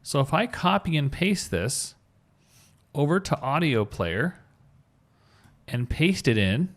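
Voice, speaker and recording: A man giving an informal screencast tutorial as an instructor, thinking aloud as he talks through each step. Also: mid-range voice. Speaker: web coding instructor.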